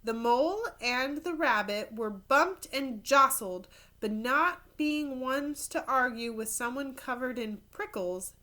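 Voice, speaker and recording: A woman with a very bright, fairly high voice reads a children's story aloud like a primary school teacher. Her pace is slow and deliberate. She reads expressively and slips into casual asides as if showing pictures to young listeners. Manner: expressive reading, pausing to point out pictures and explain words. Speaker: third grade teacher reading to pupils.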